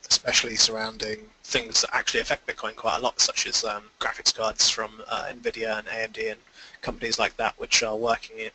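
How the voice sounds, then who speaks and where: A man's voice: medium pitch, bright, forceful tone, poor audio. A man giving informal, explanatory answers as an investor guest in a conference call interview.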